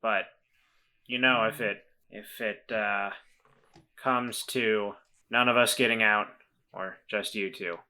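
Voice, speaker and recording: Hesitant man with a bright voice. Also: on the low side, fairly even intonation, clear, resonant tone. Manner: hesitant, halting with repeated restarts. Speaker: player. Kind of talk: casual tabletop roleplay.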